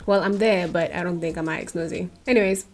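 A woman's voice: on the low side, steady tone, fast. A young woman from South Africa running her own channel in casual chat, bubbly and checking in with viewers, her voice a little worn, speaking into a desk mic in a small bedroom. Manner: bubbly, talks to viewers with frequent "okay?". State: losing her voice. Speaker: YouTuber. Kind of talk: casual chatty vlog. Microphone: desk microphone.

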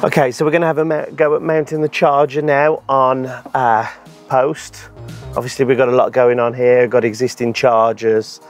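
A man in his thirties with a hoarse voice, relaxed and explanatory, demonstrating an installation outdoors in a garden on a lapel mic. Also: mid-range voice, moderate pace, faint music behind. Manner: relaxed, explanatory, with frequent ums and so's. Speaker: EV charger installer and channel host. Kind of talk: hands-on installation demo. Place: outdoor garden beside a wooden shed.

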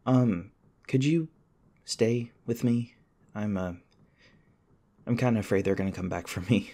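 A man playing a shaken, apologetic young human in an audio roleplay, speaking hesitantly with stammers and halting phrases. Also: on the low side, warm tone. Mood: anxious, drowsy. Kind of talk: ASMR audio roleplay, naturalistic acting.

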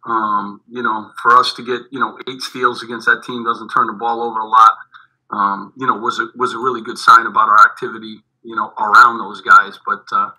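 A middle-aged man, a head basketball coach, answering postgame questions over a video call, halting and repeating his words, with many fillers. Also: on the low side, flat delivery, bright, forceful tone, rough recording. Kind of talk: postgame press conference answers. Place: indoors in front of a team backdrop. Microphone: video call webcam.

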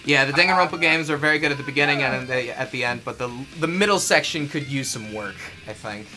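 A man's voice: medium pitch, fast talker. A young man chatting casually about a video game as a show co-host, giving his opinions emphatically. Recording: music behind the voice. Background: video game music.

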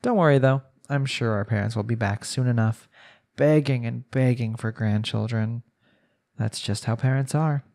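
A man's voice: medium pitch, moderate pace, gravelly, darkish tone, close to an everyday voice. A man voicing a young newlywed husband in an arranged marriage, speaking conversationally in an intimate audio roleplay. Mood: casual, teasing.

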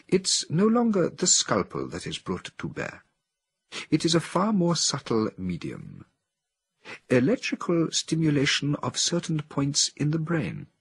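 A man's voice: fairly high pitch, unhurried, husky, dry recording, voice pushed up, exaggerated voice. A man voicing a male chimpanzee scientist who speaks with a mysterious air, in an audiobook reading. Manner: mysterious, calm.

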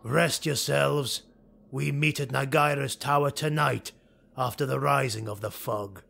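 A man voicing a cold, commanding, vengeful elf lord with an imperious, forceful delivery, for an audiobook. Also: mid-range voice, steady tone, measured pace, creaky, exaggerated voice. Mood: grim resolve.

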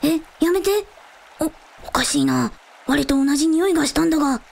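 A woman's voice: sits high, normal speed, creaky, darkish tone. A woman playing a small chubby dragon in child form in a radio drama, talking in a pompous, archaic way that slips into timid pleading. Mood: pleading, flustered. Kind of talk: Japanese radio drama acting.

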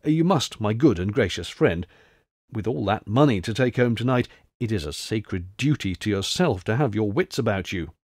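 A man voicing a boisterous, crafty old French ex-soldier in an audiobook, all exclamations and flattery. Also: mid-range voice, measured pace, no echo, clean studio sound. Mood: confidential. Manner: blustering, exclamatory, drops into confidential tones. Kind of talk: audiobook character voice.

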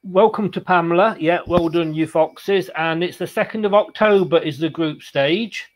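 Man, 60s, fan show host, slightly raised pitch, chatty and halting, on a video call. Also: low-quality recording. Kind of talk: casual football post-match chat. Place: room at home, indoors. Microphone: video call, webcam.

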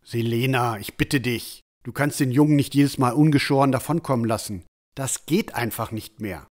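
A man playing a stern, disappointed village father, measured and reproachful, in a fantasy audio drama. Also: mid-range voice, a little mellow, lightly acted. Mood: stern disappointment.

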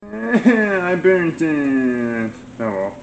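Slow, rambling young man in a kitchen. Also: a little high, big pitch swings, creaky, highs cut off. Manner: rambling, offhand, many fillers. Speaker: vlogger. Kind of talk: casual cooking vlog. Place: small home kitchen, indoors. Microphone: camera set on the counter, at a distance. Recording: echoey kitchen with background noise.

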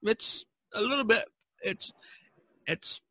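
Male podcast host, bright forward tone, dry close sound, loose chuckling chat. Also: high voice, slow pace, dry recording. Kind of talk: casual chat among artists.

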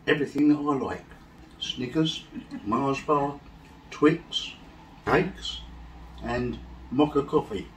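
A middle-aged English man reading shout-outs slowly and carefully in a casual home vlog, seated on a living-room sofa. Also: mid-range voice, far from the mic, a little hiss or hum, low-quality recording. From England. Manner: slow, soft-spoken, careful over names. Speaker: vlog co-host. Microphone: far camera.

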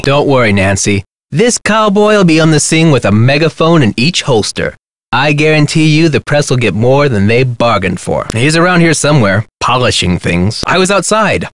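A fervent political activist from an adventure game. His voice sits fairly high and swoops widely as he wisecracks in counterculture slang. The delivery is theatrical and impassioned, recorded very dry. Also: a bit bright, dry recording. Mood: wry, conspiratorial, then urgent, defensive. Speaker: video game voice actor. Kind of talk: video game character dialogue.

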